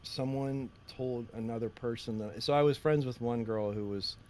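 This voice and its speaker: A man co-hosting a podcast with a deep voice, telling rambling stories and laughing often in casual chat. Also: moderate pace, a little mellow, a little hiss or hum. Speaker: podcast co-host.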